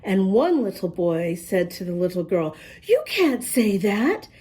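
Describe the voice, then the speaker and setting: A woman reading a picture book aloud to young children, expressively, pausing to ask them questions. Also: mid-range voice, sing-song, mellow, husky. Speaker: children's storybook reader and host.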